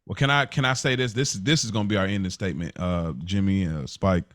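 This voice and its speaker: A man's voice: deep voice, fast, fairly bright tone, dry recording, studio quality. A man hosting a casual podcast conversation, talking emphatically and repeating phrases to build his point. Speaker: podcast host.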